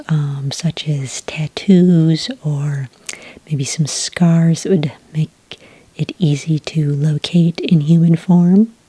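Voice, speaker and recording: A woman speaks in a very breathy near-whisper, low and dark in tone. She is gentle and unhurried, like a polite official taking details in an ASMR roleplay. The sound is close and dry. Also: no room sound. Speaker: ASMR roleplayer acting as a werewolf report agent. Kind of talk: soft-spoken ASMR roleplay.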